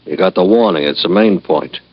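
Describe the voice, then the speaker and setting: An actor playing a terse, threatening hired thug in an old-time radio crime drama. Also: male voice, deep voice, brisk, vocal fry, slightly rough, dry recording, exaggerated voice. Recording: dull vintage radio recording.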